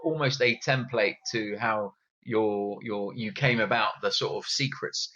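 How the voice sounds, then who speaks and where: A man's voice: fairly low pitch, unhurried, pushed and bright, lo-fi sound. Easygoing male podcast host. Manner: conversational, repeats words while forming questions. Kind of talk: casual interview.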